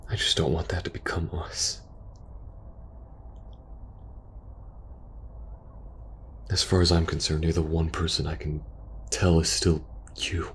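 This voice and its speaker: A man playing a war-weary, protective soldier in an intimate ASMR audio roleplay, speaking earnestly and hesitantly, sometimes hushed. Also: sits low, slight background noise, close to an everyday voice. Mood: frustrated, weary. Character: war-weary young male soldier pleading with best friend, protective, earnest.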